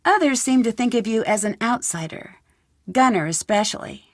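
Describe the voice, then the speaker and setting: A young female sleuth in an adventure game. She is polite and inquisitive, with a fairly high voice in a dry, close studio sound. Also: animated, normal speed, dry recording. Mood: friendly, curious. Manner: inquisitive, clearly scripted questioning. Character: curious, polite young female amateur detective. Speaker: game protagonist, investigator. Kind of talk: video game character dialogue.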